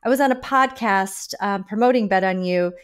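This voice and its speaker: A woman's voice: mid-range voice, moderate pace, smooth. Upbeat woman podcast host. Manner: upbeat and enthusiastic, lively emphasis. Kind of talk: energetic podcast interview. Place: home office. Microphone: video call with a desk microphone.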